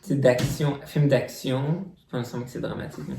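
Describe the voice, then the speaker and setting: A man's voice: medium pitch, steady tone, moderate pace, creaky, warm tone, recorded from a distance. A man from Africa in a casual quiz game, insisting on his point and repeating it. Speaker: channel co-host. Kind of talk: casual quiz game between two people. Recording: echoey room with faint noise.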